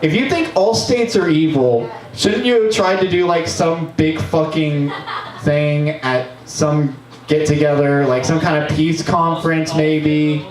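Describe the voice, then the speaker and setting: A man in his twenties doing a profane, animated comic history monologue at an open mic in a small bar, sometimes breaking into shouts. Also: a little high, a little slow. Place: small bar stage, indoors. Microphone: stand mic, distant camera. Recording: roomy bar, camera far off.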